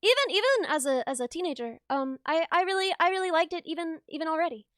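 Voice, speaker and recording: High bright chatty young woman streamer. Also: lively intonation, quick pace, no echo, studio quality. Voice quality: slightly rough, raw voice. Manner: rambling, chatty, laughs often, reads chat aloud. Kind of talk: casual chat to live viewers.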